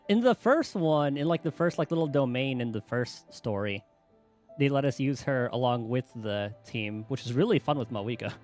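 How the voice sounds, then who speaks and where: Casual male game streamer, mid-pitched. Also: expressive, moderate pace, darkish tone. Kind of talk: casual gameplay commentary. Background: game music.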